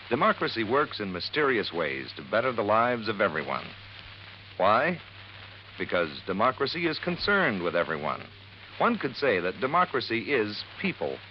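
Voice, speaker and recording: A man's voice: fairly low pitch, a little hiss or hum. A man reading an earnest public service announcement in a 1950s radio broadcast, declamatory in tone. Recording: muffled old radio broadcast.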